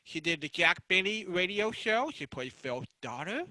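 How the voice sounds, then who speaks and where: A man with a notably high, bright voice hosts a panel discussion. He introduces guests informally and haltingly, with a dry, close sound. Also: animated, dry recording. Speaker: panel moderator.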